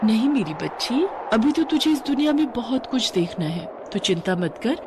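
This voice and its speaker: A woman voicing the gentle spirit of a devoted mother in a story, tender and earnest. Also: a little high, very animated, moderate pace, background noise, voice pushed up. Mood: soothing.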